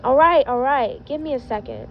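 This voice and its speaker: A teasing but caring boy, quick to get embarrassed, read by a woman. The storybook voice is fairly high and sits in dull audio over music. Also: huge pitch swings, a little hiss or hum, full character voice. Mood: flustered. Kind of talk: storybook-style character voice in fan fiction reading.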